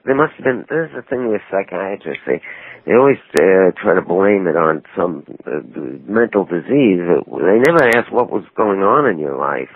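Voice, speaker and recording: A man's voice: deep voice, very animated, moderate pace, slightly rough. A man interviewing a caller on a talk show. He cuts in often and presses with pointed questions. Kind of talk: call-in radio talk. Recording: dry, narrow phone-like sound.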